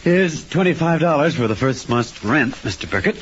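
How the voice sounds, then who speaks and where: A man's voice: mid-range voice, animated, moderate pace, close to an everyday voice. A man playing a sullen, secretly scornful husband in old-time radio drama style. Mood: subdued, guarded. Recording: dull, noisy old radio recording.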